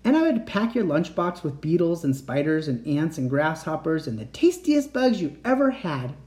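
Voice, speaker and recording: A man voicing a gentle mother reassuring her child at bedtime. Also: a little high, big pitch swings, normal speed. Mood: tender. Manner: soothing, tender reassurance.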